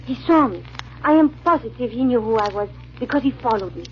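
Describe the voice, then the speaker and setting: A woman's voice: fairly high pitch, animated, brisk, a little hiss or hum, close to an everyday voice. A woman playing a loyal, worried maidservant in a 1940s radio drama, heard on a muffled old broadcast recording. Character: loyal maidservant companion, worried but stubbornly devoted.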